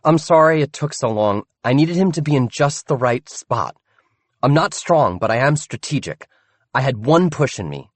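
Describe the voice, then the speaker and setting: A clever young woman who talks brisk and direct, her voice pitched up well above the reader's own, as a male narrator voices her. The read is dry and close. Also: big pitch swings, no echo. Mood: apologetic. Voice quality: quick and direct.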